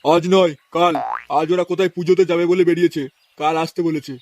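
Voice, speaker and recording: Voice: mid-range voice, little pitch movement, quick pace, background noise, lightly acted. An actor voicing a crude, short-tempered old grandpa in a comedy cartoon, with a brusque, scolding manner. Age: older. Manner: scolding, foul-mouthed, brusque. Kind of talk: comedy cartoon dialogue in colloquial Bengali. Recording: music behind the voice.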